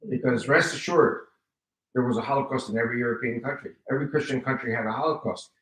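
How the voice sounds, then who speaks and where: A man in his seventies, American-born with Spanish as his first language, giving an animated personal testimony to a live audience and acting out quoted dialogue. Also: medium pitch, quick pace, distant mic, a little hiss or hum. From United States. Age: 70s. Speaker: guest speaker giving testimony. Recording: roomy hall, voice picked up from afar.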